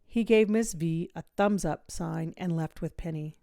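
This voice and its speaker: A woman reading a children's story aloud in expressive, measured, clearly phrased narration. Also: lowish voice, no room sound, studio quality. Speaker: audiobook reader, teacher.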